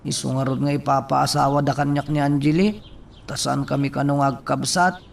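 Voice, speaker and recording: Man playing a gravelly earnest young Ilocano man. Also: mid-range voice, level tone, normal speed, natural voice. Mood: troubled. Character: earnest young nephew burdened by an unwanted marriage. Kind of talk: Ilocano radio drama acting. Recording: distant mic, faint background noise.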